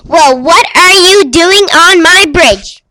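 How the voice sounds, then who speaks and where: A woman's voice: sits high, slow pace, a bit bright. A woman playing a mean old male troll from a storybook, bossy and threatening as the bridge's guard.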